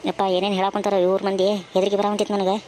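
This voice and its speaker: A woman's voice: medium pitch, completely flat delivery, very fast, very noisy, close to an everyday voice. A woman voicing a timid, easily frightened young male traveller in an amateur cartoon, with an anxious manner and a North Karnataka Kannada accent. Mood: scared.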